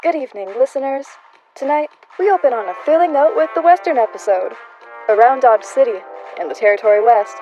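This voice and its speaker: A woman's voice: fairly high pitch, warm tone, close to an everyday voice. A woman playing a dramatic radio announcer, reading a scripted introduction to a drama. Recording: dull sound under brass music. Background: brass music.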